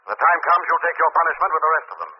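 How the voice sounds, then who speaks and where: An actor playing a stern, commanding unseen avenger, declaiming solemnly in 1940s radio drama style. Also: male voice, on the high side, animated, very fast, breathy edge. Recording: noisy muffled old radio recording.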